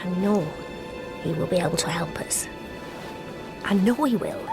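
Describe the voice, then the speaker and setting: An actress playing an affectionate, curious young woman with a gently questioning manner in a radio comedy. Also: on the low side, normal speed, music in the background, close to an everyday voice. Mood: curious, hopeful. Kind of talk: radio comedy drama acting.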